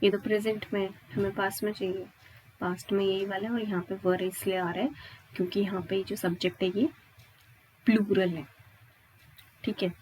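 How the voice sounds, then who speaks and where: A woman's voice: medium pitch, little pitch movement, moderate pace, long pauses, darkish tone, slight background noise, low-quality recording. A woman with an Indian accent teaching grammar step by step, often checking with questions that listeners follow. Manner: instructional, checks understanding with frequent questions and tags. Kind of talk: exam-prep lesson, explaining step by step.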